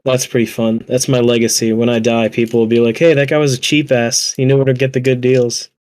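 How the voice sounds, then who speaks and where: A man's voice: mid-range voice, level tone, brisk, darkish tone. A man telling anecdotes in a casual podcast chat, slangy and conversational with some swearing. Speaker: podcast guest.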